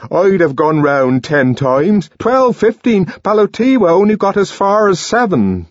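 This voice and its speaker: A man's voice: mid-range voice, big pitch swings, slow, slightly rough, no echo, dull audio, pitched above the performer's own voice, exaggerated voice. A man voicing an earnest, emotional young man who speaks in an intense, trembling way. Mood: impassioned.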